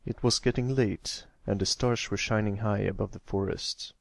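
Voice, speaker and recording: A man's voice: on the low side, fairly even intonation, normal speed, creaky, no room sound, clean studio sound. A man reading a story aloud as an amateur narrator of fan fiction.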